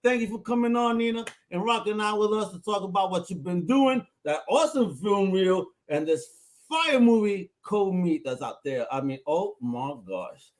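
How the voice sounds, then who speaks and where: A man's voice: high-pitched, lively intonation, moderate pace. A man co-hosting an interview podcast, hyped and exclamatory with playful slang. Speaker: podcast co-host. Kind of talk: casual interview chat.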